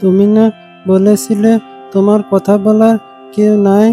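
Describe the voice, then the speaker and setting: Woman voicing a plaintive, slow-talking cartoon fox. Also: medium pitch, little pitch movement, warm tone, faint music behind, natural voice. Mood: hurt, questioning. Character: loyal, hurt talking pet fox. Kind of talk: cartoon character acting.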